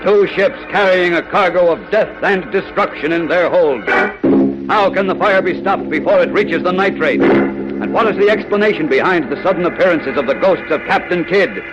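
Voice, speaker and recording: An American male announcer narrates in grand, suspenseful 1940s radio style. His voice sits a little high for a man, over loud music. The recording is muffled and vintage. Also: very animated, moderate pace. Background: loud dramatic music.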